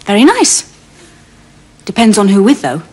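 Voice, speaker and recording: A woman's voice: medium pitch, huge pitch swings, measured pace, a little mellow, slightly rough, exaggerated voice. A woman in a sitcom role with a dry, teasing wit. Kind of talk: sitcom acting.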